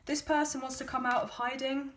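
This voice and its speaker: A woman with a slightly raised voice that has gone a little hoarse and croaky talks her viewers through a tarot reading. She sounds chatty and gently encouraging, often pausing on an um. The recording carries a clear background hiss with clicks. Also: sits high, little pitch movement. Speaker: tarot card reader.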